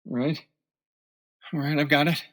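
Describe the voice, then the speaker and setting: A man's voice: fairly high pitch, fairly even intonation, a little slow, fairly bright tone. A man playing a frightened secret service agent in a radio drama, anxious and hesitant. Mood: scared, uneasy.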